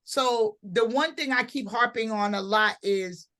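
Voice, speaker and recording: A woman's voice: a little high, unhurried, a bit bright. Woman, host, panel talk, earnest, rambling, restarts.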